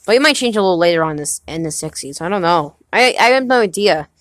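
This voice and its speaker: A young man playing a halting nineteen-year-old husband. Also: high voice, lively intonation, a bit bright, no echo, clean studio sound. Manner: hesitant, halting, many restarts. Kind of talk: amateur home movie acting.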